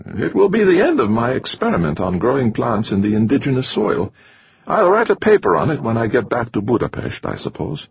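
A man's voice: medium pitch, wildly swooping pitch, a little fast, creaky, husky, no echo, dull audio, voice pushed up, heavily acted. A man voicing a gloomy, whiny middle-aged male biologist with a Hungarian accent.

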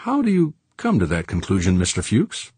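A man voicing a cool, unruffled businesswoman who negotiates in a crisp, condescending, businesslike way in an audiobook. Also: lowish voice, big pitch swings, moderate pace, a little mellow, no echo, voice pushed up. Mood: businesslike, controlled.

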